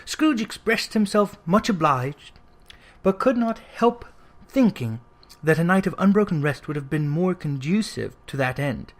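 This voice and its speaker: A man with a slightly high voice reads classic fiction as a narrator. His delivery is measured and expressive, in a literary storytelling style. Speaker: audiobook narrator.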